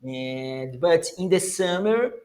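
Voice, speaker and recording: A man's voice: on the high side, expressive, slow, a little mellow. A man from Brazil with a Brazilian Portuguese accent, a native Portuguese speaker chatting hesitantly in an English class over a video call. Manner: hesitant, restarts often, learner's English. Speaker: English student. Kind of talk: casual conversation class.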